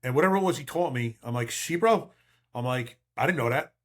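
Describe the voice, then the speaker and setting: A man's voice: medium pitch, expressive, brisk. A man in his fifties or older talking casually as a podcast host and veteran cop, blunt and emphatic with streetwise phrasing. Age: 50s or older. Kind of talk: casual conversational advice.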